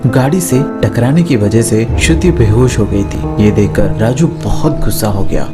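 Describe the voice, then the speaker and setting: A man's voice: on the low side, moderate pace. A man narrating a scripted horror story as a storyteller. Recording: music behind the voice. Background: background music.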